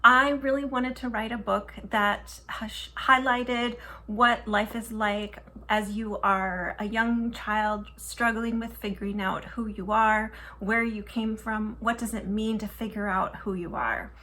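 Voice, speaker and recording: Earnest, bright-voiced woman author. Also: medium pitch, steady tone, measured pace, hardly any pauses, a little hiss or hum. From Minnesota, USA. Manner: earnest, heartfelt. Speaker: children's book author. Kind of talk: personal talk about her book.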